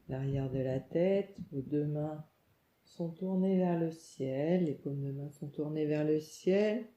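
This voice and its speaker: A woman leads a guided relaxation meditation, calm and soothing, giving gentle instructions in short phrases with pauses. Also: low-pitched. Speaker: yoga nidra meditation guide. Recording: muffled, echoey room sound.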